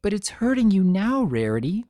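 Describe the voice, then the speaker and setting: A performer voicing an earnest, concerned young female pony in a cartoon fan story, gently questioning a friend. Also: mid-range voice, big pitch swings, normal speed, voice pushed up, close to an everyday voice.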